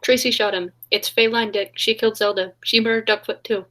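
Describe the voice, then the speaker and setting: A woman's voice: fairly high pitch, fairly even intonation, fairly quick, sharp tone, a little hiss or hum. A woman playing an earnest heroine, a detective's sweetheart in peril, in a 1940s style radio play. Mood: relieved, breathless.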